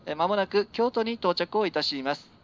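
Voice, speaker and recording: A man's voice: expressive, normal speed, clean tone. A man working as a train conductor, giving formulaic live announcements over the PA. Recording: train PA with vehicle noise. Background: train rumble.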